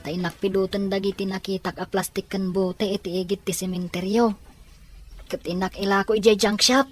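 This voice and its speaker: A woman's voice: mid-range voice, level tone, a little fast, faint music behind, lightly acted. A woman playing a protective, cautious young boy, an older brother in an audio drama. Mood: worried. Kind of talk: amateur audio drama acting.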